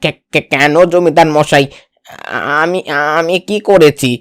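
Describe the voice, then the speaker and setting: Man acting bewildered, higher-pitched village father-in-law. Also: steady tone, measured pace, natural voice. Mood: nervous, deferential. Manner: nervous stuttering, deferential. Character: humble, bewildered village father-in-law, timid before authority. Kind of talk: comic cartoon dialogue.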